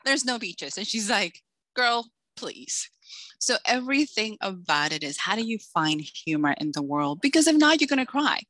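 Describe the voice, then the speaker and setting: Animated, bright-voiced woman storyteller. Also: medium pitch, moderate pace, no echo. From Dominican Republic, raised in Massachusetts. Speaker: author guest. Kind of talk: casual interview chat.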